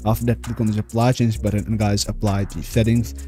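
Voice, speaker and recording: A man's voice: fairly low pitch, little pitch movement, fast, dark tone, soft background music. A man narrating a screen tutorial as host, repeatedly addressing viewers directly. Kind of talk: screen-recorded tutorial voice-over.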